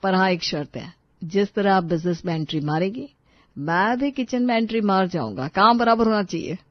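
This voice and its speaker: A woman voicing a frank, self-assured young businessman groom in a storybook tale, speaking in a measured, earnest way. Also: mid-range voice, no echo, lo-fi sound, natural voice. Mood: earnest, confident.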